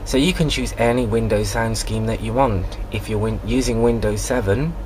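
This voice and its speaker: A man giving an informal, plain step-by-step software tutorial as a radio hobbyist, recorded on a handheld camera in a small room. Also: on the low side, moderate pace, noisy background. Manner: plain, instructional. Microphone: handheld camera or phone microphone.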